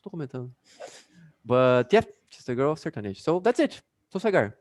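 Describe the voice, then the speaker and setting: Brazilian-accented man as a casual English teacher, animated, dry sound, talks with many fillers. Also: mid-range voice, brisk, no echo. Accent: Brazilian Portuguese. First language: Portuguese. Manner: chatty, many fillers, laughs often. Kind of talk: casual online class.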